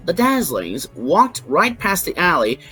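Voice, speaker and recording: A woman's voice: fairly low pitch, big pitch swings, unhurried, sharp tone. A woman narrating a scripted fan fiction story. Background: background music.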